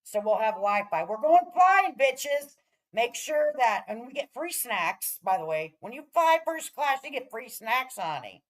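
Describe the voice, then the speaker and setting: A woman's voice: on the high side, big pitch swings, measured pace, poor audio. A woman hosting a casual livestream, chatting to viewers in a brash, profane way. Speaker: livestream host.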